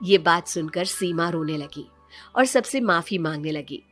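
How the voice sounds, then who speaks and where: A woman's voice: lowish voice, animated, measured pace. A woman narrating a scripted moral story as a storyteller. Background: background music.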